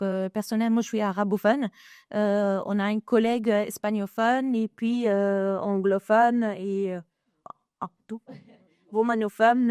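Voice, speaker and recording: A woman working as an educator talks on a panel, pausing often and restarting her sentences. Her voice is mellow and smooth, heard dry and close, and she speaks slowly. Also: medium pitch, no echo. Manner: hesitant, many fillers and restarts. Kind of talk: panel discussion. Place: conference room.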